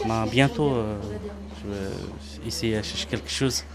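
A man from Guyana who grew up speaking answers haltingly, searching for each word. Clear crowd noise runs under his voice. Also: lowish voice. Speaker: volunteer cook.

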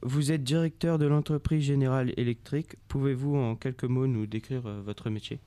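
A man's voice: mid-range voice, steady tone, moderate pace, warm tone, clear, resonant tone, no room sound. Teen boy hosting a school radio show. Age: teenager. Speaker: student radio host. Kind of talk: reading scripted questions.

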